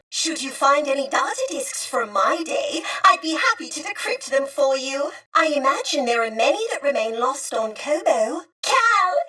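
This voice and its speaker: A woman's voice: sits high, pushed and bright, distant mic, full character voice. A woman voicing a genteel, chatty, two-century-old female droid in a video game, with prim, courteous, wistful and theatrical phrasing. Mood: polite, reminiscing. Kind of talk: scripted video game character acting.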